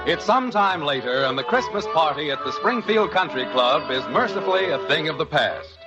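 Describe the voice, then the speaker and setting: Male show announcer, 1950s radio style, high-pitched voice, scripted and polished, muffled recording. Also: animated, moderate pace. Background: loud music.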